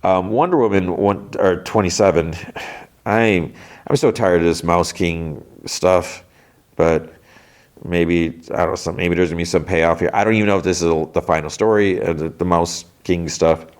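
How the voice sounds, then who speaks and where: A man's voice: low voice, fairly even intonation, moderate pace. A stuffed-up man hosting a podcast, rambling in casual chat about comics, with many restarts and trailing thoughts. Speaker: podcast host.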